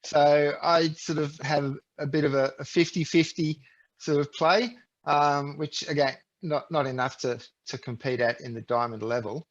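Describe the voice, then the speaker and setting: A man co-hosts a podcast in a relaxed, rambling chat. His voice sits a little high. The sound is dull and lo-fi. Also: moderate pace. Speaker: podcast co-host.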